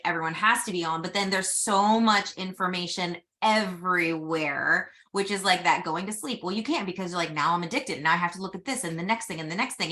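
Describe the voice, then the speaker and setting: A woman in her forties hosting a podcast, chatting casually in an animated way. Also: mid-range voice. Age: 40s. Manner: chatty and animated, quick asides. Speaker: podcast host. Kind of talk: casual chat.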